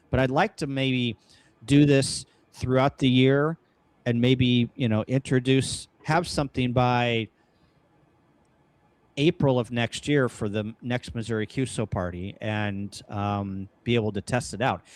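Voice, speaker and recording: A man's voice: mid-range voice, no echo. American man hosting a dry, close livestream. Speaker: host of a ham radio livestream. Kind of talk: casual livestream brainstorming talk. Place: upstairs room at home, kitchen table.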